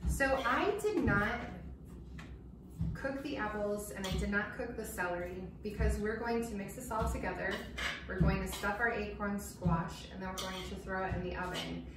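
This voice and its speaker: A woman's voice: mid-range voice, moderate pace. A woman in her thirties demonstrating a recipe in a home kitchen, chatting casually as she cooks. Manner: relaxed, chatty, explains while cooking. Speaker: cooking demonstrator. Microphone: far camera mic. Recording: echoey kitchen, distant mic, noisy.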